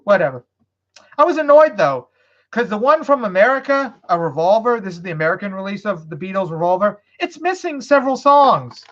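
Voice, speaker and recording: Woman streamer, casual rambling chat, profane and loose, dull lo-fi audio. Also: mid-range voice. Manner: rambling, swearing, loose after beers. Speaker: live streamer.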